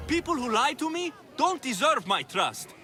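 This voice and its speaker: An actor playing a blunt, resolute young mercenary hero of ancient Greece in a video game. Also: male voice, extremely high pitch, sing-song, moderate pace, bright, forceful tone, faint music behind, full character voice. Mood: earnest, projected. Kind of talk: cinematic video game acting.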